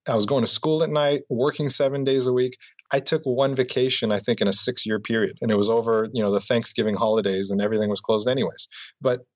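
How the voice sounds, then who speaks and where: A man being interviewed about his business career, talking reflectively and filling pauses with "you know.". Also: mid-range voice, fairly even intonation, no echo. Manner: reflective, repeats "you know" often, restarts phrases. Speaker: entrepreneur guest. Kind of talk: casual interview answers.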